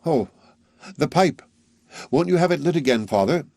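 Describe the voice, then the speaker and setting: A man putting on a gentle young village girl. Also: fairly high pitch, animated, moderate pace, creaky, no room sound, clean studio sound, pitched above the performer's own voice. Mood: tender. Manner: gentle, tender, simple.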